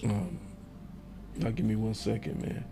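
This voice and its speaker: A hoarse, raspy-voiced man hosting a sports call-in show, talking in an emphatic, slangy, animated way. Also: on the low side, measured pace, a little hiss or hum. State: hoarse, voice going in and out. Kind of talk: passionate sports commentary to callers and viewers.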